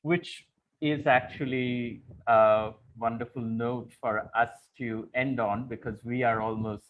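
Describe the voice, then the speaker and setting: A man's voice: mid-range voice, fairly even intonation, slow. A man moderating a literary conversation, articulate, putting long and thoughtful questions to a guest. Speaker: interviewer, moderator. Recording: dull, lo-fi call-like audio.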